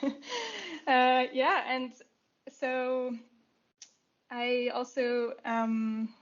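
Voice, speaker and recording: A woman's voice: a little high, flat delivery, extremely slow, muffled recording. A young woman from Switzerland, a guest on a podcast, talking casually about her work with frequent ums and easy laughter. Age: young adult. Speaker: podcast guest, software and product engineer.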